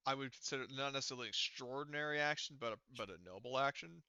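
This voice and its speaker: A man acts as game master in a casual, halting way, working through rules aloud. His voice is bright and smooth, recorded dry with no room sound. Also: medium pitch, no room sound, lo-fi sound.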